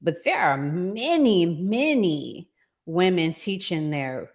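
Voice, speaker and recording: Relationship podcast host: American woman, middle-aged, deep for a woman, unhurried pacing, deliberate and opinionated delivery. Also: sing-song. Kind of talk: conversational relationship talk show.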